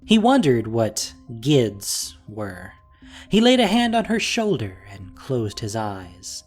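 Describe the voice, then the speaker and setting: A narrator with a measured, dramatic storytelling manner, reading a scripted audio drama. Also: mid-range voice, huge pitch swings, slightly rough, soft background music. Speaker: podcast narrator and producer.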